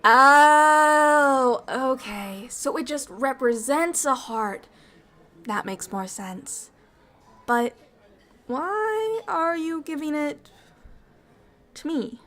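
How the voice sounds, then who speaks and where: High-voiced, bubbly alien ambassador played by a woman. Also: full character voice. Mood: curious, gentle. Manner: expressive, earnest, slightly formal wording, halting when moved. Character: bubbly, affectionate alien ambassador, curious and naive about humans. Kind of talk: ASMR roleplay acting, intimate one-sided dialogue.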